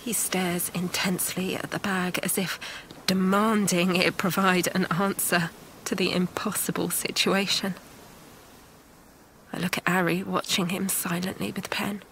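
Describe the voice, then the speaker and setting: A woman's voice: on the low side, little pitch movement, unhurried, fairly bright tone, slight background noise, rough recording. A woman playing a desperate, protective mother at sea in an audio drama, narrating in the first person with intense emotion. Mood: tense, bleak. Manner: intense, emotionally charged delivery.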